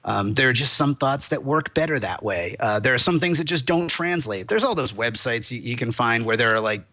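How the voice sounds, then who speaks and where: Excitable rambling man, bright tone. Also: medium pitch, fairly quick. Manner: rambling, excitable, many restarts. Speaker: lawyer guest. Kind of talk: animated podcast discussion.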